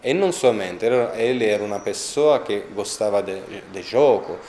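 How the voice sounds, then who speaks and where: A man with an Italian accent, speaking a second language in a documentary interview, pausing often to find his words. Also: on the low side, lively intonation, darkish tone, roomy sound, a little hiss or hum. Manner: halting, searching for words, many pauses. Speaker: interviewee on a sainthood cause.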